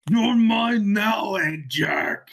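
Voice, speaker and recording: A woman's voice: expressive, extremely slow, creaky, slightly rough, full character voice. A woman voicing a cocky, exasperated young male hedgehog hero in an exaggerated amateur comedic fanfiction reading. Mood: triumphant. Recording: old-timey low-quality mic, loud music.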